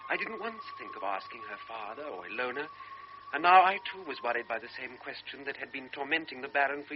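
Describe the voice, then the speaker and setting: A man's voice: medium pitch, close to an everyday voice. A young actor playing a lieutenant who narrates in the first person, reflective and earnest about his guilt. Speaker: lieutenant recalling events. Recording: lo-fi radio recording over music.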